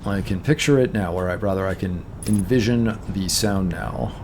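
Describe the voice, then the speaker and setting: A man's voice: low voice, normal speed, background noise. Tired-voiced Canadian man thinking aloud. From Toronto, Canada. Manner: thinks aloud, trails off and corrects himself. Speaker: streamer. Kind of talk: casual let's-play chat.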